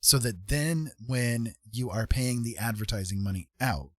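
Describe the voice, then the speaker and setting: A man's voice: medium pitch, normal speed, dry recording, clean studio sound. A man giving casual advice to viewers as a livestream host, talking in an informal, rambling way and often checking in with the listener.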